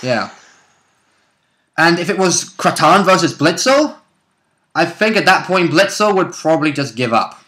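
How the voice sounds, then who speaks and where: A man's voice: on the high side, big pitch swings, a little slow, long pauses, sharp tone. A man chatting casually as a player and co-commentator, hedging his guesses in informal, sometimes sweary speech. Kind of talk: casual chat, speculative commentary.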